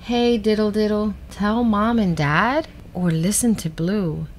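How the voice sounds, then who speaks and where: A woman's voice: mid-range voice, takes it slowly, a little hiss or hum, pitched above the performer's own voice, close to an everyday voice. A woman voicing a worried, sleepless little storybook girl in a plaintive, pleading manner.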